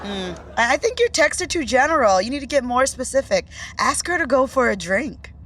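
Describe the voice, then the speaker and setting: A woman's voice: sits high, sing-song, brisk, noisy background. A woman playing Ellie, a blunt, bossy young woman who tells her friends how it is in a podcast sitcom.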